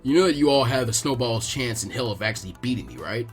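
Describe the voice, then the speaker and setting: A man's voice: mid-range voice, lively intonation, fast talker, pitched below the performer's own voice, lightly acted. A man plays a grown ninja instructor in an anime story, dropping his voice into a lazy, derisive drawl. He sounds dismissive and mocking over background music. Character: laid-back, mocking adult male ninja teacher.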